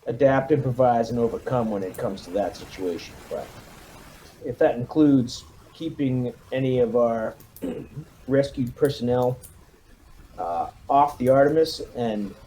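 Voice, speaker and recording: A man's voice: mid-range voice, animated, measured pace, mellow, a little hiss or hum, low-quality recording. A man leading a squad in a tabletop roleplaying game, briefing it with military jargon and command phrasing over an online call.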